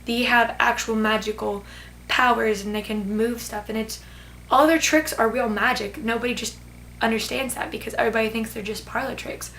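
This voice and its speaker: A young woman with a fairly high voice chats on about a book she loved, rambling and gushing with plenty of filler words. Her voice is hoarse and fading. A faint hum sits under the recording. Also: steady tone, moderate pace, fairly bright tone, low-quality recording. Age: teens. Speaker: book vlogger. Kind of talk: casual book review.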